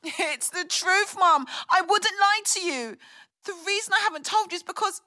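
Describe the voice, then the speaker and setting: Very high, pleading young woman, acted. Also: moderate pace, bright, forceful tone, no room sound. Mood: confused, earnest. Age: young adult. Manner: pleading, hesitant, tearful. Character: distraught, apologetic young pregnant daughter, pleading innocence. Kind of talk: audio drama acting.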